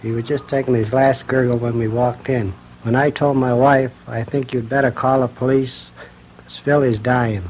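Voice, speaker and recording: A man's voice: medium pitch, little pitch movement, normal speed, darkish tone. A middle-aged American man giving a plain-spoken eyewitness statement. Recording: dull old radio recording.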